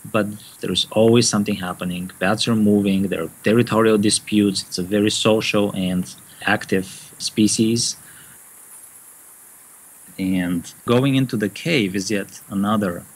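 Low, husky-voiced male scientist. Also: fairly even intonation, rough recording. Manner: thoughtful, measured, pauses with fillers. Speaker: bat biologist. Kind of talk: interview answers.

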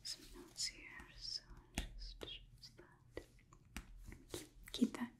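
Woman whispering as a slow, clinical robot overlord. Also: a bit bright. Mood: absorbed muttering. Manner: stilted formal phrasing, detached, slow and deliberate. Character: curious, clinical female robot overlord studying human emotions. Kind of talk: whispered ASMR roleplay.

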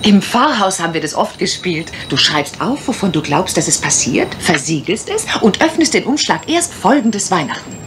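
A woman's voice: fairly low pitch, sing-song, moderate pace, hardly any pauses. A woman playing a playful, confident young lady detective in a German radio crime drama. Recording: noisy old radio play recording.

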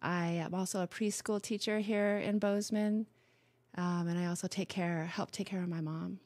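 A woman in her late forties from Montana, hosting a radio talk show in an unhurried, friendly way, with many ums. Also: fairly low pitch, steady tone, darkish tone, no echo. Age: 40s. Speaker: host.

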